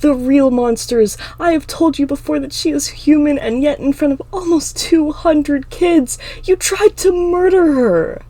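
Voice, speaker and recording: A woman voicing an anguished, defiant teenage demon girl in a fantasy story, pleading in impassioned shouts. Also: high voice, a little slow, hardly any pauses, dry recording, voice pushed up. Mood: furious, accusing. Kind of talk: dramatic fantasy audiobook acting.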